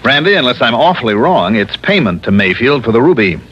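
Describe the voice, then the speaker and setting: An actor playing a cool, wisecracking investigator hero in an old-time radio detective drama, with a casual, self-assured delivery. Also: male voice, medium pitch, sing-song, fairly quick, fairly bright tone. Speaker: lead actor and narrator. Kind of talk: 1950s-60s radio detective acting. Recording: dull, hissy old radio broadcast.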